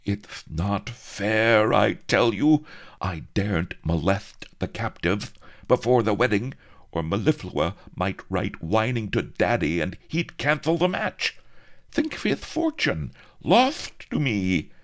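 A man's voice: on the low side, lively intonation, slow, fairly bright tone, pitched above the performer's own voice, heavily acted. A man voicing a cruel, blustering male viceroy who screams, cackles and gloats in a theatrical storybook reading. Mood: whining.

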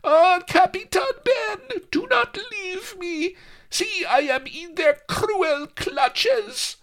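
A captive damsel in drag wails in a trembling falsetto. The voice sits very high, thin and quavering. It is a comic storybook plea. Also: male voice, lively intonation, slow pace, a bit bright, heavily acted. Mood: pleading. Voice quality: falsetto, tremulous.

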